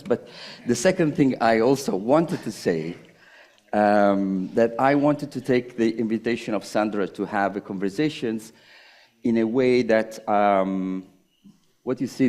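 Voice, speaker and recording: Panel speaker: middle-aged man, slightly low pitch, halting and thoughtful, lots of pauses. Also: warm tone. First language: Italian. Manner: halting, hesitant, with many pauses and restarts. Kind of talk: reflective public conversation.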